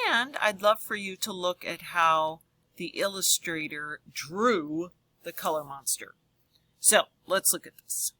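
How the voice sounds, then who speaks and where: A woman's voice: lowish voice, bright, forceful tone, dry recording, poor audio. A woman teacher reading aloud and explaining to children, speaking expressively and addressing them directly. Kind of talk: children's read-aloud and lesson.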